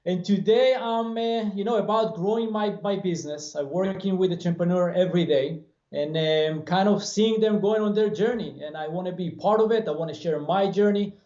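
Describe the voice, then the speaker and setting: A man's voice: sits high, normal speed, dark tone. A man in his forties with an Israeli accent, speaking earnestly and motivationally as an interview guest over a video call from a bare room. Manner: earnest, motivational, gestures with hands. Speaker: guest, franchise business owner. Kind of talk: interview answers. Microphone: webcam on video call. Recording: roomy dull video-call audio.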